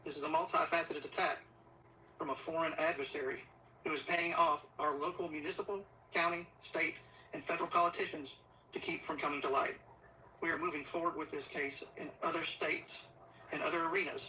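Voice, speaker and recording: A distant, dull-sounding playback of a middle-aged man giving an official statement. His voice is very sharp and forceful, solemn and deliberate, like an earnest public appeal from a police official. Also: on the high side, fairly even intonation. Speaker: assistant police chief. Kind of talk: earnest prepared video statement. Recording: distant, muffled playback with faint noise. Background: faint background noise.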